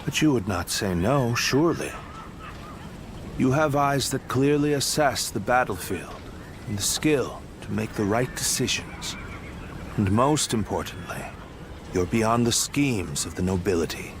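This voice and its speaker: An actor playing an earnest, honorable army commander in a video game, speaking in a measured, sincere way. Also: male voice, mid-range voice, animated, noisy background. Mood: earnest, trusting. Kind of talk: English video game dub acting. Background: music.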